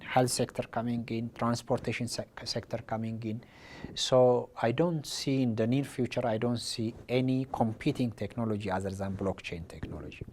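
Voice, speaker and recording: A man from Ethiopia, an education official, speaking haltingly with many repeated restarts. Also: medium pitch, a little mellow, slight background noise. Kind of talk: interview statement.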